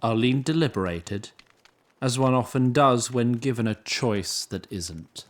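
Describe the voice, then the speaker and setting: An actor as a composed, wry storyteller, giving literary scripted narration for a fantasy audio drama. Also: male voice, mid-range voice, lively intonation, unhurried, lightly acted.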